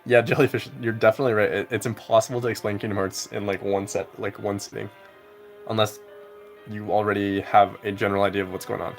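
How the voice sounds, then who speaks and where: A man's voice: lowish voice, quick pace, faint music behind. Laid-back young man chatting on stream. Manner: rambling, relaxed, heavy on "like". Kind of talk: casual live-stream chat while gaming.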